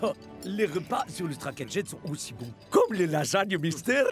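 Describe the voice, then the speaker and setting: A man voicing an excitable, food-loving football player in a French cartoon dub. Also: high-pitched, normal speed, faint music behind. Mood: delighted.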